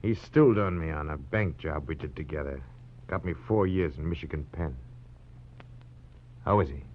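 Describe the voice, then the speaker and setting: Low-voiced, menacing American gangster. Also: male voice, slight background noise. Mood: cold, menacing. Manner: taunting, slangy, unhurried menace. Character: menacing bald gangster killer, cold, taunting, sadistic. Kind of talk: 1940s radio crime drama acting. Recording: dull, muffled vintage 1940s radio recording.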